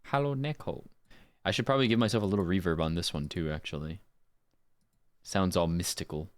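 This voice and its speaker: A man's voice: low voice, quick pace. A man who streams voice acting, talking casually and informally to his live viewers. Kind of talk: casual livestream chat.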